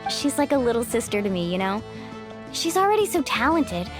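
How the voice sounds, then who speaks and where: Breezy cocky big sister game girl, high and really animated, music under it. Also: a little fast. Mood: fond. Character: confident, upbeat, protective big-sisterly teenage girl champion. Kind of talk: video game voice acting.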